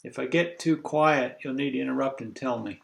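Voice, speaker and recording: An older man lecturing a university class over a video call, congested, halting and full of fillers as he thinks aloud. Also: mid-range voice, vocal fry, echoey room, slight background noise.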